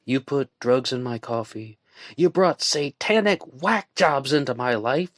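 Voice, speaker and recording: A woman reading as a tired, steady man. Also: very deep voice, measured pace, no echo, studio quality, pitched above the performer's own voice. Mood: weary. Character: weary, worn-down man, steady and firm.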